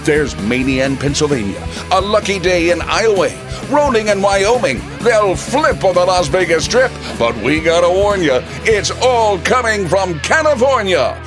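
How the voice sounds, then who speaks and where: A male announcer introduces a radio comedy show in a theatrical, scripted style. His voice sits a little high, and music plays clearly behind him. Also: sing-song, moderate pace, few pauses.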